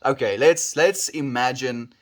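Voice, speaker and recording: A man's voice: medium pitch, unhurried. A man with a South African accent, streaming live and chatting casually with viewers, easygoing and full of dude and bro. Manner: easygoing, calls viewers dude and bro, trails off mid-sentence. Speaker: livestreamer. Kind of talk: casual livestream chat answering viewers.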